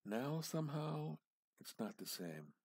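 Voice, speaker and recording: Husky, slow-paced man reading dramatically. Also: a little high, sing-song, a little mellow, dry recording. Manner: theatrical, halting phrases with pauses and emphatic repetition. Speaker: amateur reader. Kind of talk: dramatic reading of a literary short story.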